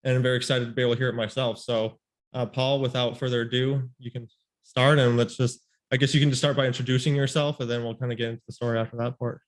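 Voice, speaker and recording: Man, podcast host, mid-pitched, monotone, rambling with fillers. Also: fast. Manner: rambling, many "you know" and "like" fillers. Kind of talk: casual interview conversation.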